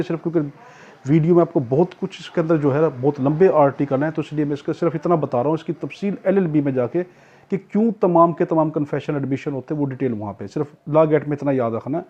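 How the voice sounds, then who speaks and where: Husky, higher-pitched man giving a lecture. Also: darkish tone. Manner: didactic, repeats key points, asks and answers own questions. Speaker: law tutor. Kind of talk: explanatory exam-prep lecture with everyday examples. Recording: dull, lo-fi recording.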